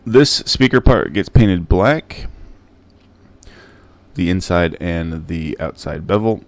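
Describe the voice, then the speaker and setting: A man narrating a casual DIY how-to in an informal, halting, hesitant way. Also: low voice, expressive, no echo. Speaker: DIY prop maker and channel host. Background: music.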